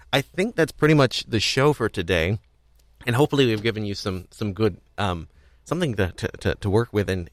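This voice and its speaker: Low-voiced man, casual podcast host. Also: no echo. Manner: hesitant, rambling, repeats words. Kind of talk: casual unscripted discussion.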